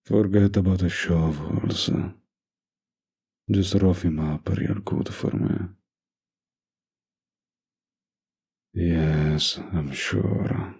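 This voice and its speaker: Casting: male actor for a young mob boss boyfriend in romantic audio roleplay; raspy, unhurried drawl, menace mixed with tenderness. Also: sits low, steady tone, a little mellow, exaggerated voice. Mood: cold, menacing. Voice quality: gravelly, husky. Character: dominant young mafia boss boyfriend, menacing yet doting.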